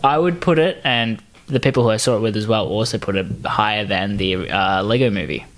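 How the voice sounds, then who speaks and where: A man co-hosting a podcast in casual banter, excitable and sweary, often mock-indignant. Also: on the low side, brisk. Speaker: podcast co-host.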